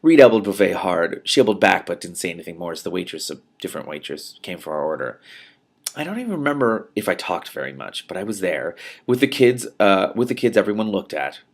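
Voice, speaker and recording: A man with a fairly low voice and a strong fry reads fiction aloud. His delivery is expressive and emphatic, sometimes falling to a hush, with the informal feel of a host sharing his reactions. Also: brisk. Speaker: host reading a novel aloud with commentary. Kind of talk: expressive audiobook-style reading with spontaneous reactions.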